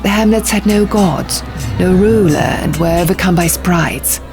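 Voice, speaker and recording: A woman voicing an earnest young man who recounts dark ancient lore in an audiobook, measured and theatrical, pausing for effect. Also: on the low side, natural voice. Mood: solemn storytelling. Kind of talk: audiobook character dialogue. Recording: music bed under the reading.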